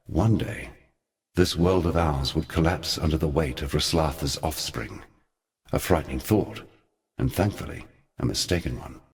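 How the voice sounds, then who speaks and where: A man playing an ancient, sardonic god in a video game, telling dark lore in a measured, theatrical and eloquent way with a weighty voice. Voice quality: very deep, weighty voice. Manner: measured, theatrical, wry storytelling. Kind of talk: scripted video game character monologue.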